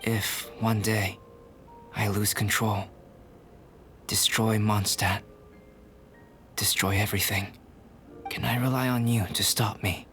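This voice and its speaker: A young scholarly alchemist in an anime-style video game. His voice sits fairly low, and he speaks calmly in formal, measured, contemplative phrases. Music plays clearly behind him. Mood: pensive.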